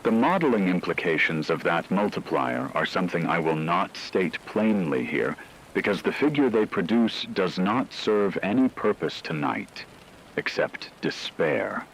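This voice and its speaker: A man playing a grave radio anchor who delivers scripted news of a global catastrophe in a solemn, measured, formal way. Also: low voice, very animated, gravelly, slight background noise, full character voice, rough recording. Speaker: emergency broadcast announcer.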